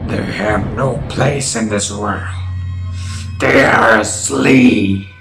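Slow, menacing inhuman beast, acted by a man, echoey. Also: on the low side, gravelly, exaggerated voice. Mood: fanatical, menacing. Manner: slow, solemn, emphatic, shifting between whispers and shouts. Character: menacing inhuman beast, a fanatical male preacher of suffering. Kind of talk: dramatic audition monologue. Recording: echoey room with loud music over it. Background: loud scary music.

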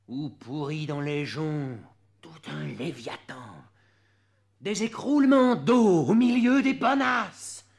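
A man giving a dramatic literary poetry recitation in measured phrases with drawn-out words, swinging between hushed delivery and loud declamation. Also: on the high side, gravelly. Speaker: poetry reader, performer.

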